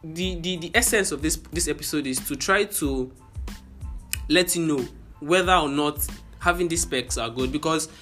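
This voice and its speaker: A young man hosting a casual podcast, playful and quick to laugh. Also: on the high side, bright, forceful tone, soft background music. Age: young adult. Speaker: podcast host. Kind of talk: casual chat.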